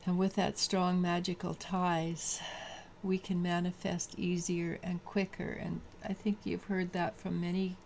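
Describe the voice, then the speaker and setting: A woman with a low voice giving a daily tarot reading, halting and full of restarts. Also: little pitch movement, creaky, darkish tone, a little hiss or hum. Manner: halting, many restarts and fillers. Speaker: tarot reader.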